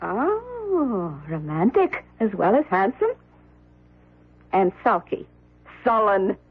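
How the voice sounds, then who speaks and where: Petulant, husky-voiced rich wife. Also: female voice, medium pitch, very animated, takes it slowly, heavily acted. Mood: teasing. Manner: theatrical, nagging, pleading. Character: pampered, petulant rich daughter and wife, craving fun. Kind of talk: 1970s radio drama acting. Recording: muffled lo-fi old radio broadcast.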